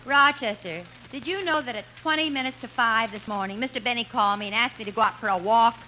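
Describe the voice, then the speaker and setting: A woman's voice: fairly high pitch, fast, bright, forceful tone. An actress playing a sardonic, bossy lady friend in a 1950s radio comedy, with dry, teasing delivery. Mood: brisk, bossy. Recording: noisy old radio recording.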